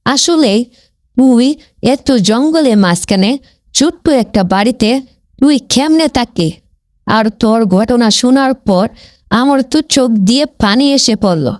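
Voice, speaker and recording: A man's voice: very high-pitched, expressive, takes it slowly, voice pushed up, full character voice. A man voicing a fearsome man-eating female witch in a cartoon fairy tale, boastful and threatening, with an echoing effect on the voice. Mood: moved, softened. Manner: boastful, threatening, repetitive questioning. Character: fearsome man-eating female witch of the jungle, menacing but soft-hearted. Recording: echoey with long hall reverb.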